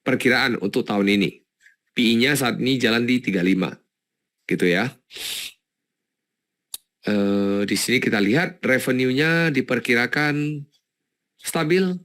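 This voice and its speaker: A man's voice: mid-range voice, a bit bright. Man presenting an informal webinar. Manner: explanatory, colloquial, often repeating words while reading and thinking aloud. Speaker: webinar presenter on stock analysis. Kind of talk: informal live webinar commentary.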